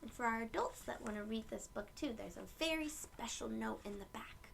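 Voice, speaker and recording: A woman reading a picture book aloud to young children and explaining it gently in simple words. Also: on the high side, lively intonation, brisk, creaky, a little hiss or hum. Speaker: children's read-aloud host.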